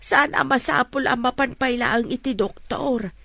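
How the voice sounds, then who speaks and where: Bright-voiced Ilocano mother, played by a woman. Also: high voice, measured pace, creaky, no room sound, heavily acted. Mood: weary, tender. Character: ailing, affectionate older Ilocano mother. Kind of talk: radio drama acting.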